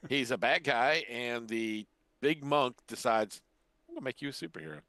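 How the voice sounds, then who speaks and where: A man's voice: mid-range voice, moderate pace, pushed and bright, dry recording. An older man chatting casually as a podcast co-host. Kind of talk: casual chat about sci-fi shows.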